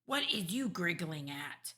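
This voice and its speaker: A woman voicing a gentle, cautious male giant in a storybook, explaining things in an urgent, hushed manner. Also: lowish voice, unhurried, creaky, heavily acted. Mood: hushed, earnest.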